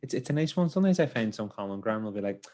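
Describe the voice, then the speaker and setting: A man's voice: fairly low pitch, expressive, rapid-fire, mellow. An Irish man with an Irish accent, hosting an interview and chatting casually with his guest. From Ireland. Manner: casual, laughs easily, chatty asides. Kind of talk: conversational interview.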